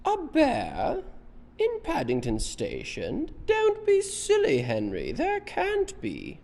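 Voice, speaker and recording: Reader doing a shrill, excitable, high-voiced mother. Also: takes it slowly, creaky, in a large hall, full character voice. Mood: scornful disbelief. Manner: exclaiming, emphatic. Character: excitable, high-voiced middle-aged mother, scolding yet kind.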